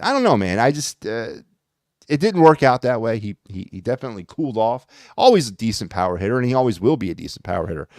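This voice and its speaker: A man's voice: mid-range voice, big pitch swings, fast talker, a little mellow, no echo, studio quality. A man hosting a solo sports podcast, talking in a rambling, blunt and sarcastic way with lots of fillers. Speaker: podcast host.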